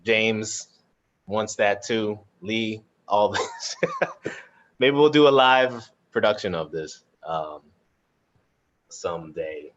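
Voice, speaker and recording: A man casually moderating a panel chat, informal and halting as he thinks aloud. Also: fairly low pitch, lively intonation, a bit bright, highs cut off. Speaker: cast member moderating a live audience Q&A.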